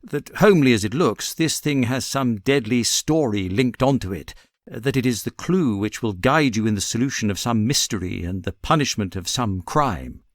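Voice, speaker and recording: A British man voicing a genial, curious gentleman, a detective's companion, in an audiobook, speaking in an earnest, inquiring way. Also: medium pitch, animated, moderate pace, no room sound, studio quality, lightly acted. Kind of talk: audiobook character reading.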